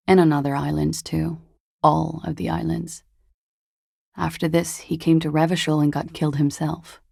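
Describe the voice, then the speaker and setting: A woman playing a jaded, evasive young disco dancer in a video game, talking in a languid, wry and guarded way with trailing pauses. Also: low-pitched, vocal fry, dark tone, dry recording, clean studio sound. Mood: wry recollection. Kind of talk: video game character dialogue.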